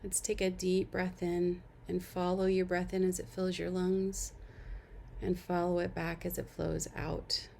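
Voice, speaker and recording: A woman leading a guided body-scan meditation as an instructor, giving gentle, unhurried instructions and soft invitations. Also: on the low side, completely flat delivery, gravelly, slight background noise.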